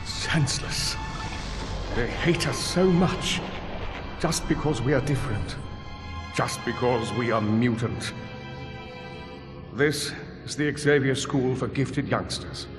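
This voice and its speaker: An actor playing a solemn, idealistic older mentor in a video game, narrating in a measured, formal, earnest way. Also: male voice, medium pitch, music in the background, close to an everyday voice. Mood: grave, earnest.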